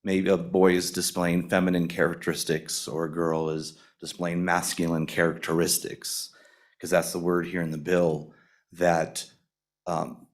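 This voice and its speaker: A retired teacher, an older man, giving deliberate public testimony with many pauses and ums. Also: deep voice. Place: legislative committee hearing room.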